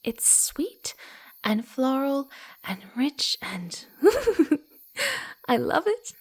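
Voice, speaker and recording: A woman voicing a playful, sweet, eager young female fox spirit in a soft ASMR roleplay, cooing and giggly, often slipping into breathy whispers. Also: high-pitched, sing-song, extremely slow, full character voice. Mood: blissful, chatty. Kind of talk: ASMR roleplay, speaking softly to the listener.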